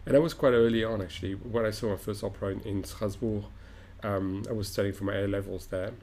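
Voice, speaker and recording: Thoughtful low-voiced man, halting speech. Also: steady tone, a little mellow. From Morocco. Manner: thoughtful, halting, many restarts and fillers. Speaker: opera director, guest. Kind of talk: reflective interview answers.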